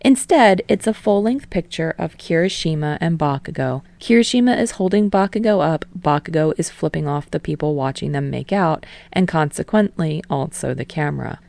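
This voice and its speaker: A woman reading fan fiction aloud, her voice somewhat low with plenty of vocal fry. The narration is casual and even, on a dry, close recording. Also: normal speed, hardly any pauses, no room sound. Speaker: podfic reader.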